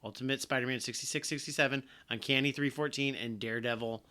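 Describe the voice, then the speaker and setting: A man co-hosting a podcast, talking in a loose, rambling way. His voice has a bright, forceful edge. His sentences tend to sink quieter as they finish. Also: mid-range voice, fairly even intonation, quick pace. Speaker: podcast co-host. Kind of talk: casual chat.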